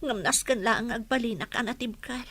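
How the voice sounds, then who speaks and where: A woman's voice: fairly high pitch, moderate pace, a bit bright. An actress playing an earnest, distressed young woman in an emotional, pleading radio drama style. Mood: subdued, determined. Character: earnest, distressed young woman left alone by her family. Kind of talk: Ilocano radio drama acting.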